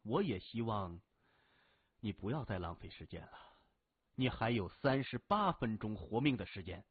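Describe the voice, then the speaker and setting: A man voicing a cold, mocking young gang boss in an audiobook, measured and quietly menacing. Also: lowish voice, big pitch swings, husky, no echo, voice pushed down. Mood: cold, threatening. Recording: thin, narrow phone-like audio.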